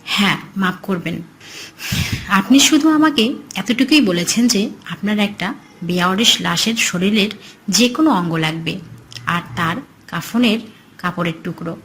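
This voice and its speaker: A woman's voice: medium pitch, animated, a little slow, pauses often, a bit bright, a little hiss or hum, poor audio. A woman voicing a blunt, scoffing, money-minded male graveyard night guard in a horror cartoon story. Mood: matter-of-fact.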